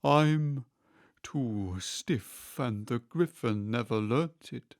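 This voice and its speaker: Man voicing a slow, mournful storybook turtle. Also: medium pitch, no echo, clean studio sound. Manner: drawn-out, mournful delivery with long stretched words. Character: melancholy, sighing, slow male Mock Turtle. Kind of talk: storybook character reading.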